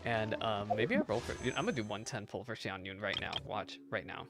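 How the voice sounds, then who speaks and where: Casual male gamer over clear background music. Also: on the low side, fairly quick. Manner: reacts out loud, repeats himself for emphasis. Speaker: gaming reaction streamer. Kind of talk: casual playthrough commentary, sometimes reading game text aloud.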